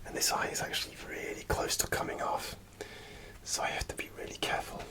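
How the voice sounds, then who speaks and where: A man whispering an ASMR show-and-tell in a soft, unvoiced whisper, hesitant and full of small fillers, partly from inside a costume helmet. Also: fairly quick. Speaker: ASMR creator.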